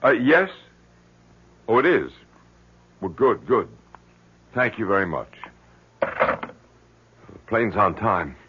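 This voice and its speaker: A man's voice: lowish voice, big pitch swings, slow pace, long pauses, slight background noise. An actor playing a fretful middle-aged father in a 1970s radio drama, stammering and impatient. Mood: nervous, flustered. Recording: muffled 1970s radio recording.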